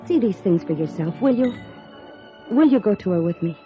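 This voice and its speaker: A woman's voice: medium pitch, animated, normal speed, close to an everyday voice. An American woman playing an earnest, trusting young society woman in a 1950s radio drama. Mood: earnest, pleading. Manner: earnest, insistent. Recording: dull vintage radio recording, music behind.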